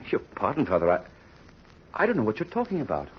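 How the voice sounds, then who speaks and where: An actor playing an earnest, hesitant young bridegroom in a 1930s radio drama. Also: male voice, fairly low pitch, huge pitch swings, fast talker. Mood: bewildered. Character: earnest, troubled young bridegroom, polite son-in-law. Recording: muffled, noisy old radio recording.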